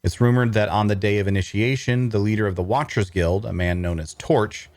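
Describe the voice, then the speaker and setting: Man as an earnest traveler, low dry storytelling voice. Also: fairly even intonation, fairly quick, darkish tone, no room sound, close to an everyday voice. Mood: reflective. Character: earnest, cash-strapped young male traveler telling his tale. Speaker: narrator and lead actor in a table read. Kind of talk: first-person fantasy narration and dialogue.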